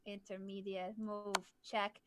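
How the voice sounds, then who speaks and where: A woman's voice: mid-range voice, flat delivery, slow, a crowd in the background. A young woman from Hungary, coaching a chess lesson on a live stream, explaining moves patiently and laughing often.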